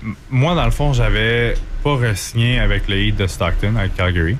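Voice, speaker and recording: A man in his thirties with a Québécois accent talks through his hockey years with an easy, unhurried rhythm, often pausing on fillers. His voice sits low. A faint noise runs under the recording. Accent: Québécois French. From Quebec. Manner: relaxed storytelling, many fillers and restarts. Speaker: former hockey goaltender, guest. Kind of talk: casual interview.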